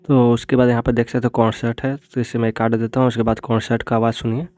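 Flat-toned young man, tutorial host. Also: mid-range voice, fast, dry recording. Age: young adult. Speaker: tech tutorial video host. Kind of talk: step-by-step app tutorial narration. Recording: music bed behind voice. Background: background music.

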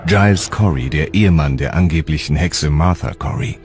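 A man's voice: rumbling low, moderate pace, a little mellow. A man delivering scripted, dramatic narration for a documentary. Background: music.

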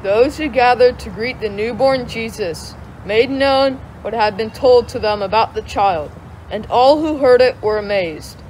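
A child reading the story of the Magi as narrator for a church nativity pageant. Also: female voice, fairly high pitch, a little slow, darkish tone, a little hiss or hum, poor audio.

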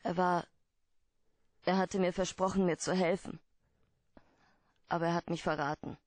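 An actress playing a guarded, troubled client pleading for help in a German radio play, hesitant and earnest. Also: female voice, lowish voice, steady tone, moderate pace, no room sound, natural voice. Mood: guarded, explaining.

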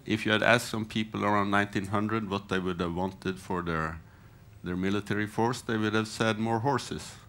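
Panelist, male, 50s, lowish voice, plain and brisk, headset mic. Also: fairly even intonation, a bit bright. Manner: short, plain, rhetorical questions. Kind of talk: conference panel remarks. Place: conference hall panel stage.

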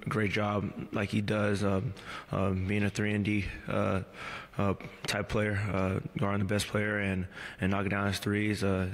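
A man in his thirties answering reporters at a postgame press conference, measured and halting, with many fillers and restarts, speaking into a table mic in a press room. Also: on the low side, in a large hall. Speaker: pro basketball player at postgame press conference. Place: press room before a team backdrop. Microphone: table mic on a stand.

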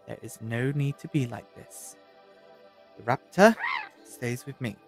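A man plays a quirky adventurer scientist in an audio drama. His mid-pitched voice is teasing and assertive, with soft music underneath. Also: unhurried, gravelly. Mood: playful, assertive.

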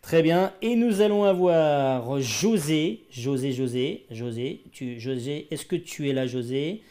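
A man's voice: medium pitch, animated, slow, mellow. A man hosting an online show, enthusiastically interviewing a guest over a video call. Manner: enthusiastic, exclamatory. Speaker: show host. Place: indoor studio.

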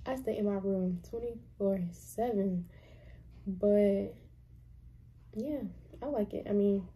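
A woman's voice: medium pitch, takes it slowly, warm tone, slightly rough. A young woman of about twenty, a college student, chatting casually to camera in a small bedroom, rambling with lots of fillers and restarts. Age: young adult.

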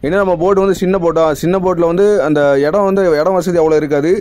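A man's voice: high-pitched, steady tone, fast, darkish tone. A young adult man with a Tamil accent, a fisherman explaining his work to viewers in a repetitive, direct manner. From India. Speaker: fisherman vlogger. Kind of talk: explaining narration over footage.

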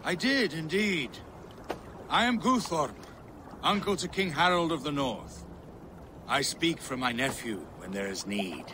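A man playing a proud, booming Norse warlord and royal uncle in a video game, with declamatory delivery. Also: very animated, unhurried, noisy background. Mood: hearty, proud. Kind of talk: dramatic video game acting.

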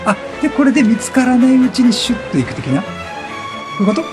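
A man with a high-pitched voice gives a running game commentary. He is chatty and reactive, swinging widely in pitch and firing off repeated exclamations. Game music plays behind him. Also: big pitch swings, quick pace. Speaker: gaming streamer. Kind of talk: excitable let's-play commentary, reading game text aloud. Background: video game music.

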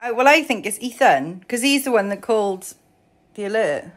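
A woman's voice: fairly high pitch, expressive, moderate pace. A woman, chatting casually and playfully as a mother gaming with her family. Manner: playful, exclamatory. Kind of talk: casual gameplay chat.

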